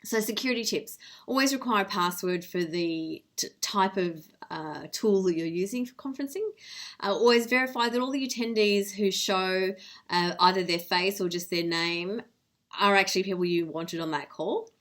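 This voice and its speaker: A woman's voice: medium pitch, moderate pace, fairly bright tone. A woman giving an informal instructional presentation as a professional, explaining things in a plain-spoken, direct way. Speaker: legal professional presenting security tips.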